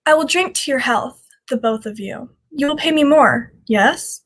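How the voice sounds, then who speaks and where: A fairly high-voiced young woman of the underworld, acted by a woman, running a shady café in an old radio drama. She is a charming scoundrel, greedy and playful, always wheedling. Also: unhurried. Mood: wheedling, sly. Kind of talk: 1940s radio drama acting.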